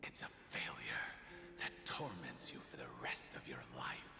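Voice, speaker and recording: A solemn, weary male leader from a fantasy game, speaking in a breathy near-whisper. He is measured and formal, with hidden anguish. His words trail into long echo over music. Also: extremely low pitch, sing-song, heavily acted. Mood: bitter, anguished.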